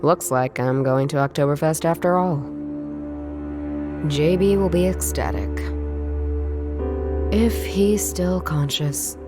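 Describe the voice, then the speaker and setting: An actress voicing a sharp-witted, wry former detective who thinks aloud in a noir visual novel game. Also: female voice, low voice, takes it slowly, pauses often. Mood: musing, determined. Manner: wry, musing, dry. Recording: voice under prominent background music. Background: music.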